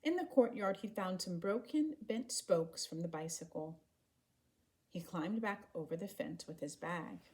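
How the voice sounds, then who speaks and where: A woman reading a children's story aloud in an expressive, animated way, acting out the dialogue. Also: lowish voice, measured pace, slight background noise. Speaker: storybook reader.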